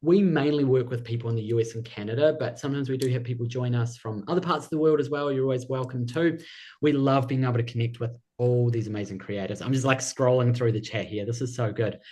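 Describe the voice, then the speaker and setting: A man's voice: mid-range voice, fast talker, darkish tone. A man with a New Zealand accent hosting a live webinar, enthusiastic and reading out viewers' chat messages. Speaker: webinar host and business coach.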